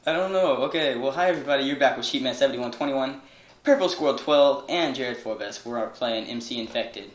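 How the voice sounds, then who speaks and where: A woman hosting casual gameplay commentary, excitable, with squealing exclamations. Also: extremely low pitch, fairly quick, a crowd in the background. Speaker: gaming video host. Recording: echoey room with faint noise.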